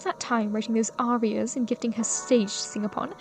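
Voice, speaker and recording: Woman playing high-voiced, earnest young period gentlewoman. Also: flat delivery, fairly quick, creaky, soft background music, low-quality recording. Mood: indignant. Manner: polite, formal, earnest old-fashioned speech. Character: kind, earnest, devoted young gentlewoman of the 1780s. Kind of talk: period audio drama acting.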